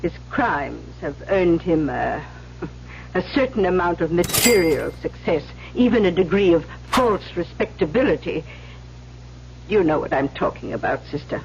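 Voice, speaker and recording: An actress playing a stern, weary older Mother Superior, measured and authoritative, in an old-time radio drama. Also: fairly low pitch, lively intonation, darkish tone. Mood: measured, confiding. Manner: measured, authoritative, cuts others short. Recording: muffled, hissy old radio recording.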